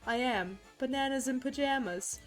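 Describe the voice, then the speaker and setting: A woman voicing an eerie monster banana that makes slow, cryptic pronouncements in a horror story. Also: high voice, soft background music, close to an everyday voice, low-quality recording. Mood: cryptic, ominous.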